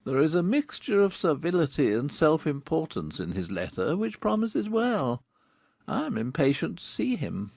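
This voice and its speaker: A man plays an older, ironic father from a classic novel. His voice sits fairly high for a man and swings widely, with a creaky edge. He draws his words out in dry amusement, in a close, dry sound. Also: wildly swooping pitch, darkish tone, no room sound, exaggerated voice. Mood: dryly amused. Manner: slow, drawn-out, ironic delivery. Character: wry older gentleman father, amused and teasing. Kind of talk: dramatized classic novel reading.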